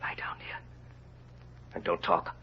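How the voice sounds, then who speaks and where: An actor playing a tender, anxious father on the run in an old radio drama, speaking in a hushed, grave, urgent way. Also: takes it slowly, whispered, lightly acted. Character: tender, anxious fugitive father protecting his little girl. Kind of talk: 1930s radio drama acting. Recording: dull lo-fi old radio recording.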